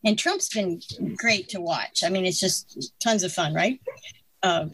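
A woman in her sixties giving strong opinions in casual conversation, animated and laughing between points. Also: fairly low pitch, measured pace, slightly rough, poor audio. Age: 60s.

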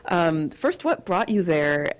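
A woman's voice: fairly low pitch, very animated, slow pace. A woman interviewer asking questions and thanking her guest in an appreciative manner. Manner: warm, appreciative.

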